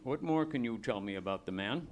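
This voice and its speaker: An actor playing a brisk, affable police detective official in a live radio play, formal and businesslike. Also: male voice, mid-range voice, expressive, dull audio, close to an everyday voice. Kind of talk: live old-time radio play acting.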